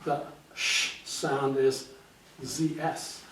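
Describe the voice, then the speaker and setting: A man's voice: mid-range voice, little pitch movement, extremely slow, noisy background. An older man born in Hungary, giving a chatty guest talk at a library and often checking in with "okay?". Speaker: guest speaker. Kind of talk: informal library talk with slides. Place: library meeting room. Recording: echoey room, speaker far from the mic.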